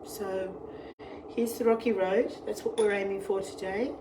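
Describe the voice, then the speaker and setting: A woman's voice: mid-range voice, fairly even intonation, unhurried. A middle-aged Australian woman with a cold and a fading voice, chatting casually as she hosts a live cooking stream and greets each viewer who joins. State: has a cold, losing her voice. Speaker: online tour and cooking class host. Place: outdoor deck, then home kitchen. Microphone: device camera. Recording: wind and ambient noise outdoors.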